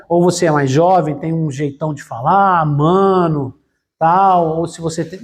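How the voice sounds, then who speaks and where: A man's voice: a little high, darkish tone. A man preaching a conversational sermon as a pastor in a church, explaining with frequent fillers and rhetorical questions.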